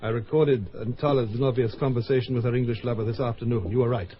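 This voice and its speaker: A man acting a brisk, sardonic London mercenary spy in an old radio drama. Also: medium pitch, steady tone, close to an everyday voice. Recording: dull old radio recording.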